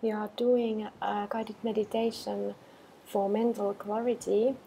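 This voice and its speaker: Slow, mellow woman guiding meditation. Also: mid-range voice, vocal fry, a little hiss or hum, poor audio. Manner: calm, unhurried, gentle pauses. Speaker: meditation and yoga teacher. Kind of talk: guided meditation, slow instructions.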